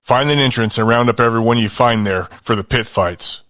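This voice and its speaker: A man playing a forceful commander giving orders in an audio drama. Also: mid-range voice, a little fast, a little hiss or hum, natural voice. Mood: commanding.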